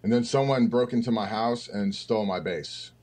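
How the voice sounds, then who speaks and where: A man's voice: fairly low pitch, normal speed, a little mellow. A man of thirty-five, a podcast guest, chatting casually and rambling with fillers over a video call. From Tennessee, US. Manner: laid-back, rambling with fillers. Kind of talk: casual chat. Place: dark home room with blue lights.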